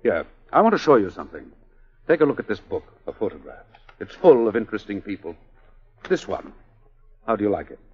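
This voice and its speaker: Casting: suave, obsessed male surgeon, commanding, very animated intonation, vintage radio melodrama, muffled sound. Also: fairly low pitch, slightly rough. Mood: commanding, persuasive. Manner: deliberate, theatrical, commanding. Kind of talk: 1940s radio melodrama acting. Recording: muffled old 1940s radio recording.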